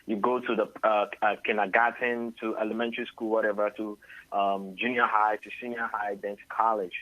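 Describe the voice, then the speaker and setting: A Ghanaian singer-songwriter answering radio interview questions at length, often adding "you know". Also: male voice, lowish voice, flat delivery, brisk, no echo, lo-fi sound. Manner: rambling, tags sentences with "you know". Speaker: singer-songwriter and label head.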